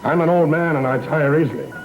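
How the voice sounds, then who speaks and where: An actor playing an elderly, self-assured, well-travelled gentleman in a gothic television soap, speaking formally and courteously. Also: fairly high pitch, sing-song, quick pace, slight background noise. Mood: courtly. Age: older. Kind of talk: gothic TV soap opera acting. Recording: muffled old TV soundtrack with music.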